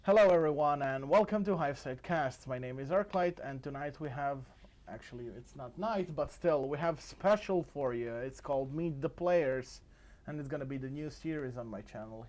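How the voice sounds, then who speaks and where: A man's voice: on the high side, moderate pace, a little mellow. Friendly male podcast host, laughing. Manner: friendly, laughs often, slightly broken English. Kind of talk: casual interview chat.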